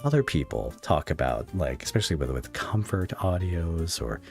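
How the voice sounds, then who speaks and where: A man's voice: deep voice, sing-song, normal speed, vocal fry, soft background music. A man hosting a casual podcast interview, feeling out his questions and points in a tentative, conversational way.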